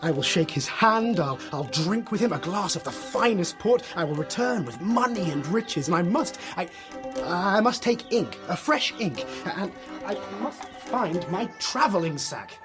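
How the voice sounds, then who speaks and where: A performer playing an eager, flustered young man who stammers and rushes. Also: mid-range voice, big pitch swings, music in the background.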